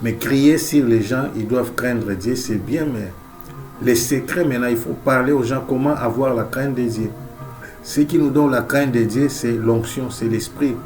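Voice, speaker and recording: A man's voice: mid-range voice, normal speed, darkish tone. Male preacher with a head cold, emphatic and repetitive, faint music behind. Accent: Central African French. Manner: emphatic, repeats key phrases, frequent amen and alleluia. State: has a cold. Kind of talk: sermon.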